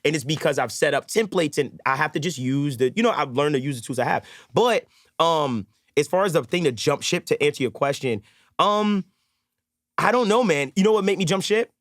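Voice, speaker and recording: A man with a New York American accent talking casually as a podcast guest, in a slangy, animated storytelling manner. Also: a little high, fairly quick, no echo, studio quality. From Brooklyn, New York, USA. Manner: animated storyteller, slangy, acts out quoted remarks. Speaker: podcast guest, mixing engineer and content creator. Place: studio.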